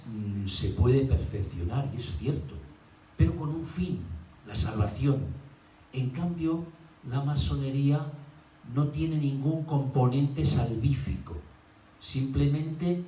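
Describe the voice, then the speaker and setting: A man giving an unscripted explanatory talk in a measured, didactic manner. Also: mid-range voice, dark tone. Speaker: panel speaker. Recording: echoey room, distant mic, faint noise.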